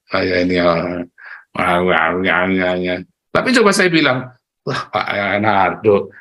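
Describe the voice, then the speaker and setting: A middle-aged man from Tegal with a Tegal accent, giving a lively motivational talk online, speaking straight to his listeners and acting out the voices he quotes. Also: mid-range voice. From Tegal, Central Java, Indonesia. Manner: animated, addresses listeners directly, acts out quoted voices, chuckles often.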